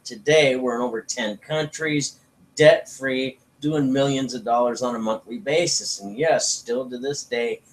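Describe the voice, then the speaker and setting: A man's voice: mid-range voice, low-quality recording. A man giving an informal sales presentation as a network marketing promoter, talking in a folksy, persuasive way.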